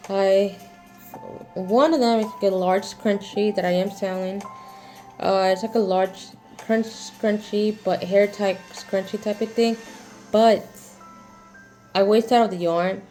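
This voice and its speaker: Young woman in her twenties, mellow voice, halting casual vlog talk, faint music behind. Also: medium pitch. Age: 20s. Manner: rambling, halting, many fillers and restarts. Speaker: crochet vlogger.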